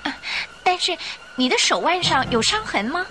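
Inquisitive young lady, very high swooping voice, excitable radio-play questioning. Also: normal speed, over music. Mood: anxious curiosity. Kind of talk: Chinese radio drama acting.

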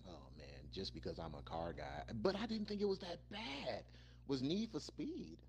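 A man's voice: a little high, wildly swooping pitch, moderate pace, gravelly, slightly rough. A man co-hosting a podcast, reacting in casual, exclamatory, slangy talk. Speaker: podcast co-host. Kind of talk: casual chat about video game movies.

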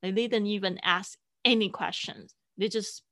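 A woman whose first language is Mandarin, speaking English as a podcast guest in an unhurried way, with many fillers and restarts. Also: mid-range voice, fairly even intonation, fairly bright tone. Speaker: guest, online teacher and podcaster. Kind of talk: casual interview conversation.

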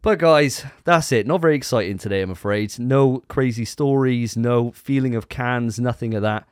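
A man's voice: mid-range voice, moderate pace, no echo. A man hosting a casual podcast, teasing his co-hosts in a jokey way. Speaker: podcast host.

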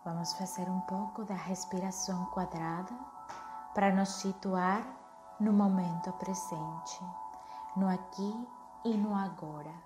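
Soothing lady doing guided meditation, really slow talking, echoey sound w music behind. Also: mid-range voice, fairly even intonation. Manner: soothing, unhurried, gently instructing.

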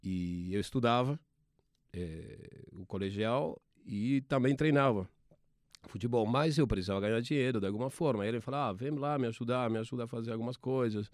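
A man in his forties from Argentina, speaking with an Argentine Spanish accent, a TV sports producer telling his career story in halting speech with many restarts. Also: medium pitch, no echo, clean studio sound. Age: 40s.

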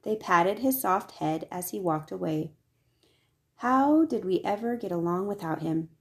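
A woman reading a children's picture book aloud as scripted narration, with expressive delivery. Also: on the low side, measured pace. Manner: expressive, acts out the dialogue. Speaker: storybook reader.

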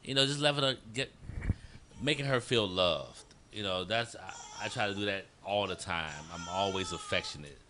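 A man's voice: low voice, normal speed, sharp tone. A man in his forties chatting casually as a guest, with some noise and clicks in the recording. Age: 40s. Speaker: guest on a couples discussion. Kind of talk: casual chat.

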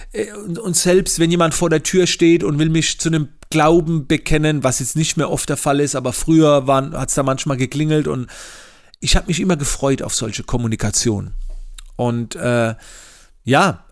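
Chatty German podcast host with dialect. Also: male voice, fairly high pitch. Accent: regional German dialect. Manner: chatty, frequent hesitations and repeated words. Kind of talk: casual solo podcast advice.

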